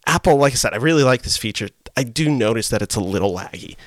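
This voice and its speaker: A young man with a hoarse voice hosting a solo podcast, chatting casually and rambling with lots of fillers and self-corrections. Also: medium pitch, animated, fast talker, no echo, clean studio sound. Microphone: desk mic with iPhone as webcam.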